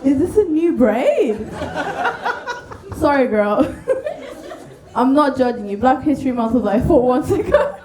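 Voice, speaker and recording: Female comedian, high voice, unhurried and giggly, echoey venue, some audience. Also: animated, a crowd in the background. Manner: giggly, laughs through her own lines. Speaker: stand-up comedian. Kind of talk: stand-up storytelling. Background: light audience noise.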